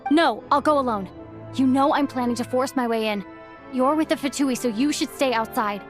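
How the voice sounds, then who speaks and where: A woman's voice: high voice, moderate pace, soft background music, natural voice. A woman voicing a determined, earnest young female traveler in video game cutscenes, with a youthful, urgent delivery. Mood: firm. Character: determined young female traveler searching for her kidnapped companion.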